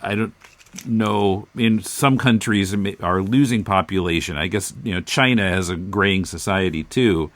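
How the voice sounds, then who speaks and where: This is a man with a fairly low voice, recorded close and dry. He hosts a casual comics discussion, speaking in a reflective, slightly halting and tentative manner. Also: fairly bright tone, no echo. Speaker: podcast host.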